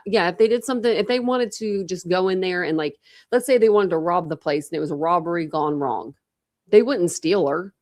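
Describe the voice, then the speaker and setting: Gravelly woman with a slight lisp. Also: on the low side, darkish tone. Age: 40s. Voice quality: slight lisp from a dental retainer. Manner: rambling, thinks aloud, many restarts. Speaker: true crime livestream host. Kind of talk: casual livestream chat with viewers.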